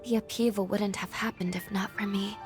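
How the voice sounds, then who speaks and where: A woman playing a gentle, dutiful young heroine in a video game, speaking softly in formal, earnest, measured phrases. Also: medium pitch, steady tone, natural voice. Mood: solemn, resigned. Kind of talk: dramatic video game cutscene acting. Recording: game music behind the voice.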